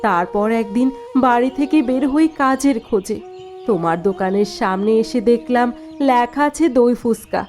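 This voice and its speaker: A woman voices a sorrowful, proud young wife in a cartoon story. Her voice is fairly high, with a plaintive, earnest delivery. Faint music plays behind her. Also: normal speed, a little mellow, close to an everyday voice. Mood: sad recounting. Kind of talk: dubbed cartoon dialogue.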